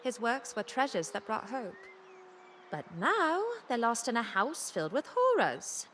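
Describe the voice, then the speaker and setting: High-voiced, haunted, broken woman. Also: big pitch swings, a bit bright, a little hiss or hum. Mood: mournful. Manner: anguished, halting, dramatic. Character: haunted, broken daughter of a glassmaker. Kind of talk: game character dialogue.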